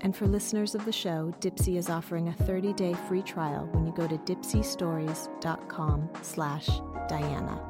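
Lady reading an ad, low and fry-heavy, loud music behind. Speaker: sponsor announcer. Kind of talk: scripted ad read.

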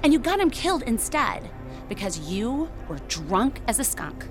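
An American woman playing a spiteful high school mean girl in an audio drama, sarcastic and scornful. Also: very animated, moderate pace, music in the background.

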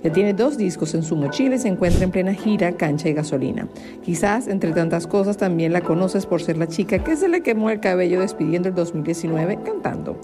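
A woman's voice: lowish voice, animated, a little fast. A podcast host recording an informal, self-mocking voice-over introduction for her guest. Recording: music bed under the voice.

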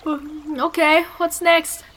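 A woman's voice: high-pitched, little pitch movement, takes it slowly, fairly bright tone, slight background noise. A woman playing a curious, conciliatory young companion in a fantasy audio drama, friendly and questioning.

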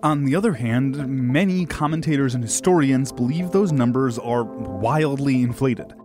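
A man's voice: medium pitch, normal speed, a little mellow, faint music behind. A man hosting and narrating a scripted history documentary. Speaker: podcast host and narrator.

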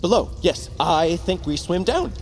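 A man acting in audio fiction as a bold, steady young tribesman, with a measured, halting delivery. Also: high voice, a little hiss or hum. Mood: eager, reassuring.

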